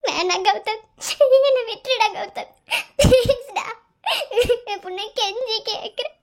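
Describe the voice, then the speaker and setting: A woman's voice: extremely high pitch, a little slow. A woman playing a distressed young student in a melodrama, tearful and imploring as she begs her tormentor. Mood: desperate begging. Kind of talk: melodramatic Tamil audio drama acting.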